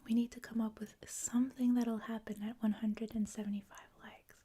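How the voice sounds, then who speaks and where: A woman with a Canadian accent, a native French speaker, hosting a livestream in a hushed, whispery ASMR style, chatting casually with viewers. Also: on the high side, flat delivery, fairly quick, husky. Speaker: livestream host. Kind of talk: soft-spoken ASMR, casual chat with viewers.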